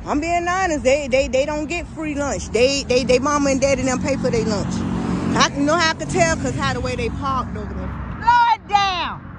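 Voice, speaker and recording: A woman talks to her viewers in a loose, sassy walk-and-talk. She films on a handheld phone as she walks along a busy street. The passing traffic is loud in the recording. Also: high-pitched, expressive, normal speed. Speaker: vlogger filming a walk. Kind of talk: casual walk-and-talk commentary. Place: outdoors by a busy suburban road. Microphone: phone camera held while walking. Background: passing car traffic.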